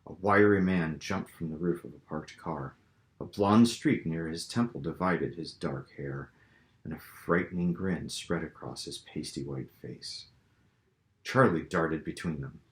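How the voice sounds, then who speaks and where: Low-voiced man reading fiction, roomy sound. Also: unhurried. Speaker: author reading own novel. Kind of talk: dramatic audiobook-style narration. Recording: roomy, echoing room sound.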